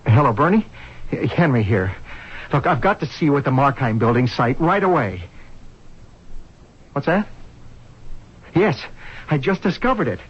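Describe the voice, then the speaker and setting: An actor playing an anguished, determined man with an urgent, halting manner in a 1970s radio drama. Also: medium pitch, sing-song, slightly rough. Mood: urgent, resolute. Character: anguished, determined man reborn in another man's body. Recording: dull 1970s radio recording.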